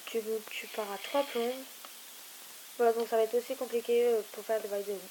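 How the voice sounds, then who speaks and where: A woman's voice: on the high side, brisk, low-quality recording. A young woman hosting a casual gaming video, rambling and teasing, trailing off with repeated fillers. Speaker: gaming YouTuber. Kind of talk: casual let's-play chat. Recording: hissy home recording. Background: steady hiss.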